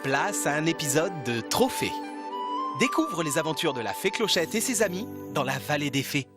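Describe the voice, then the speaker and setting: Actor as upbeat cartoon promo announcer, animated, mid-pitched, scripted, music underneath. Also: normal speed, natural voice. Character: upbeat children's show announcer.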